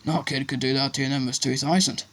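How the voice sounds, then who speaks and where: A man's voice: medium pitch, fairly even intonation, fast talker, fairly bright tone, close to an everyday voice. A man voicing a big, kindly, rustic gamekeeper with folksy, unpolished speech in a storybook. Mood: worried.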